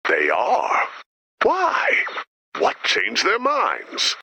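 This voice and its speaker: A man voicing an anxious, cautious pessimist in a video game, with a raspy voice and a dry, worried manner. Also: high-pitched, wildly swooping pitch, very slow, pushed and bright, dry recording, full character voice. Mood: baffled.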